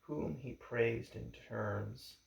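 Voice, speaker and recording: A man reading a history book aloud in a measured, halting way, with the occasional stumble over a word. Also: mid-range voice, gravelly. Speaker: amateur audiobook reader. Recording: echoey untreated room.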